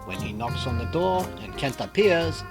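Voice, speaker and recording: An actor playing a clever, courteous, self-assured detective with a French accent and a formal, theatrical way of explaining, in an old-time radio mystery style. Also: male voice, fairly high pitch, sing-song, moderate pace, over loud music. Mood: triumphant, explaining.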